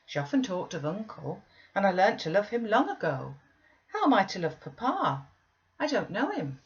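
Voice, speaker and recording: A woman's voice: medium pitch, sing-song, moderate pace, lo-fi sound, voice pushed up. A woman voicing a frail, anxious, questioning young boy in an audiobook reading of a classic novel. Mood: perplexed, plaintive.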